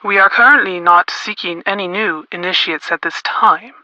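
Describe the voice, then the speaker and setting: An actress playing an aloof gatekeeper of a secretive order in a game, formal and measured, on slightly muffled audio. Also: female voice, fairly low pitch, pushed and bright, no echo. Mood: aloof, cryptic.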